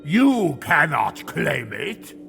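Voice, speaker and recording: An actor voicing a gleefully devout, sinister plague sorcerer in a fantasy game, savouring archaic words in a gravelly, theatrical voice. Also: male voice, fairly high pitch, wildly swooping pitch, extremely slow, a bit bright, faint music behind, full character voice. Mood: triumphant. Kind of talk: fantasy game villain acting.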